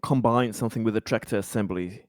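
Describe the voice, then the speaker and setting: A man with a fairly low voice, captured close and dry. He talks casually through options as a player at a tabletop game. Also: fast, no room sound.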